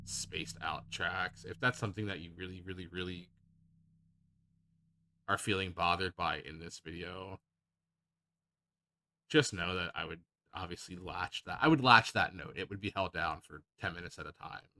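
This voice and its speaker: A man hosting a casual software tutorial, talking in an improvised, rambling and hesitant way. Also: low-pitched, normal speed, pauses often. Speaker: tutorial host.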